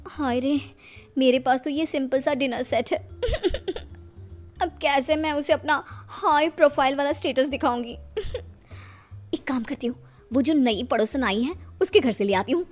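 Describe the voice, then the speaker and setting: A woman's voice: sits high, a little slow. A woman voicing a boastful, panicky housewife in a melodramatic storytelling style. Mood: panicky scheming. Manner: melodramatic, showing off. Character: Radha, boastful, panicky middle-class housewife.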